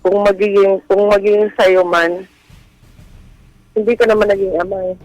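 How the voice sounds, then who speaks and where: A Filipino woman speaks as a guest sharing something personal. She tells it casually and haltingly, piece by piece, with some music playing behind her. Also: medium pitch, little pitch movement, darkish tone, low-quality recording. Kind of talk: hesitant casual storytelling. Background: background music.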